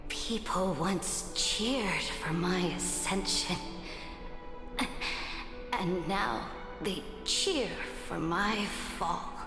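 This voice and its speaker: An actress playing a proud, imperious female emperor in a game cutscene, speaking in a solemn, deliberate, regal manner. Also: on the low side, gravelly, full character voice. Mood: wry. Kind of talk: dramatic game cutscene acting. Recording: echoey hall reverb, music behind.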